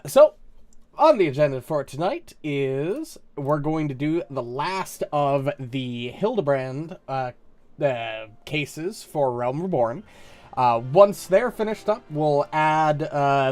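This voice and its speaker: A streamer with a head cold talks casually, stumbling over fillers as he goes. His voice sits a little high for a man and sounds slightly stuffy. Soft game music plays behind him. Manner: rambling, hesitant, many "uh"s. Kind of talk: casual live-stream chat.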